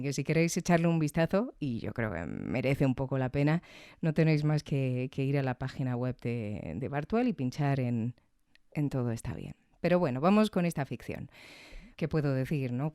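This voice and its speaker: Female podcast host, mellow smooth voice, reflective solo reviewer, conversational delivery. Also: a bass voice, moderate pace, no room sound, studio quality. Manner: reflective, frequently seeking agreement with tag questions.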